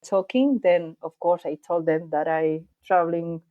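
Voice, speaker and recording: A woman from Spain, a guest answering interview questions in thoughtful, slightly halting English. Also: fairly low pitch, phone-quality sound. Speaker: podcast guest, bike traveller.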